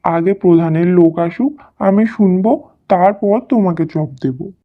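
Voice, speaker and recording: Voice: medium pitch, unhurried, close to an everyday voice. A performer voicing a humble, anxious village fritter seller in a cartoon, polite and pleading. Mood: polite, wary. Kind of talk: cartoon dialogue. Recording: dull audio with music underneath.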